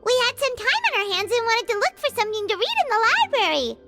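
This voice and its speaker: A woman voicing a small, excitable fairy companion in a video game, childlike and squeaky, chatty and full of exclamations. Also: fast, loud music behind the voice, exaggerated voice. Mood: curious. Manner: bubbly, exclamatory, refers to herself by name.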